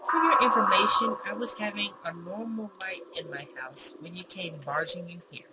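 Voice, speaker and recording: A woman's voice: mid-range voice, moderate pace, lightly acted. A woman voicing a bewildered, argumentative man in a visual novel, with an indignant, pedantic and sarcastic manner. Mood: indignant, startled. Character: bewildered, argumentative man facing his own double. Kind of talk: visual novel character read-aloud. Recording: clear background noise.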